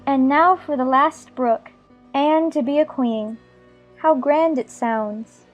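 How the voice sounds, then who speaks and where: A woman plays a curious, well-mannered storybook girl. Her voice is high and girlish, on a slightly dull recording with faint music behind it. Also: slow. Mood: excited, wondering. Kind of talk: dramatized audiobook acting.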